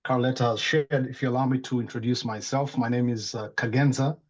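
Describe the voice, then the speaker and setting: A man's voice: mid-range voice, fairly even intonation, fast talker. Earnest man on dull call audio. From East Africa. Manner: earnest, appreciative, orderly points. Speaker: discussion participant. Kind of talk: casual discussion on a video call. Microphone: video call.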